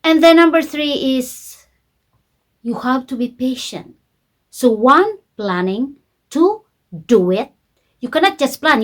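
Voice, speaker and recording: A Filipino-accented woman speaks in a slightly high voice as an online coach. She uses simple, deliberate English as a second language. Her informal delivery is emphatic, with key points repeated for the viewers. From Philippines. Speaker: YouTube coach.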